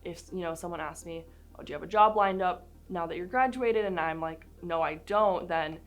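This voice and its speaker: A woman's voice: fairly low pitch, brisk. A woman in her twenties, a recent graduate, speaking candidly in an interview. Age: 20s. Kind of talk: documentary interview.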